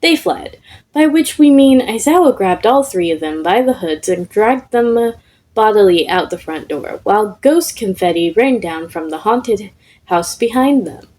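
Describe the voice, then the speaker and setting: A woman's voice: medium pitch, lively intonation, a little slow, a little mellow. A woman reading a scripted story aloud as a narrator. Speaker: fan fiction podfic reader.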